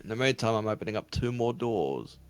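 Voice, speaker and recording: A man co-hosting a podcast, chatting and reacting while gaming, quick to laugh and curse. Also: on the low side, animated, smooth, faint music behind. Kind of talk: casual chat while gaming.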